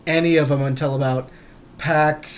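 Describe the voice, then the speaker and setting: A man's voice: fairly high pitch, fairly even intonation, normal speed. A man co-hosting a podcast in casual, unscripted chat, candid and prone to swearing. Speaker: podcast host.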